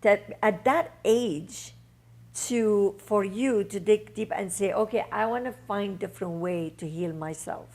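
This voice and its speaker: Gravelly-voiced female talk show host. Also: mid-range voice, a little slow, a little mellow. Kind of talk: interview host.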